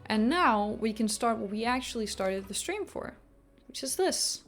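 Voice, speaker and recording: A woman's voice: a little high, expressive, normal speed, soft background music. A woman from Denmark, a native Danish speaker, chatting casually and a little hesitantly as she live streams a game. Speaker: livestreamer. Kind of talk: casual live stream chat while gaming.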